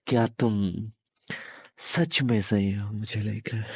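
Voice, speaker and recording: Low, slow-talking possessive demon played by a man. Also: steady tone, slightly rough, no echo, exaggerated voice. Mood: sly, threatening. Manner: slow, taunting, halting delivery with long pauses. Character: menacing, possessive male demon, captive turning predator. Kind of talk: intimate audio roleplay monologue addressed to listener.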